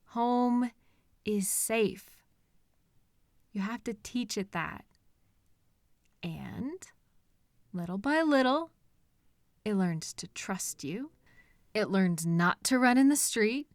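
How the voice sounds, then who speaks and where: A woman playing a possessive, sweetly menacing stalker in an intimate roleplay audio drama, coaxing softly with lingering pauses. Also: mid-range voice, animated, no echo, clean studio sound. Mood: patronizing, coaxing.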